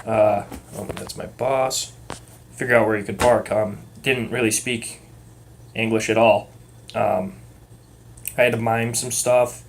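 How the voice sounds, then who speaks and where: A young man with a muddled Massachusetts accent, talking in a calm, rhythmic way and rambling casually with lots of ums and uhs. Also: on the low side, measured pace, long pauses, slight background noise. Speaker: vlogger. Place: at work.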